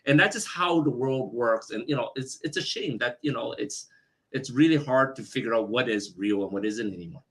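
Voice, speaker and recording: A man's voice: mid-range voice, moderate pace. Man co-hosting a health talk show. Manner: conversational, with hesitant restarts. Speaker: podcast co-host. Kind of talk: casual talk show chat.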